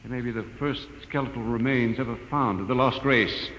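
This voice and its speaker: An actor playing an earnest, wondering scientist aboard a spaceship in an old science fiction radio drama. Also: male voice, medium pitch, a little fast, a bit bright, a little hiss or hum, natural voice. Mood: awed fascination. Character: earnest, awestruck male scientist, spaceship's technical expert. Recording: echoing, dull old radio recording.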